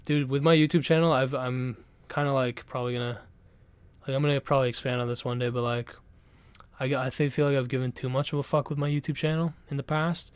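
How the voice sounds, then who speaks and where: A man's voice: medium pitch, fairly even intonation, fast, clean tone, no echo. A young Canadian man streaming from home, chatting casually and rambling with lots of swearing and restarts. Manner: rambling, profane, laid-back, full of restarts. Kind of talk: casual live-stream chat.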